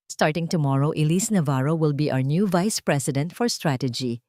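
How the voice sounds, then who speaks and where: A woman's voice: sits low, moderate pace, dark tone, no room sound, clean studio sound, lightly acted. A woman with a Filipino accent voicing a proud, callous billionaire husband in an audio story, formal and self-satisfied.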